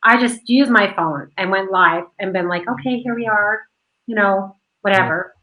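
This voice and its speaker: A woman hosting an online radio interview, chatty and quick, laughing often. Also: medium pitch, muffled recording. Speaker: host of an online radio show. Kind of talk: casual chat.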